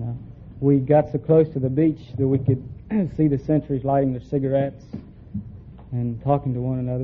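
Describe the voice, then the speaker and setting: A man's voice: medium pitch, narrow, tinny audio. A young man answering interview questions as a quiz contestant, in a plain and hesitant way. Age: young adult. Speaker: navy frogman, quiz contestant. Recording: thin, faintly noisy old radio recording.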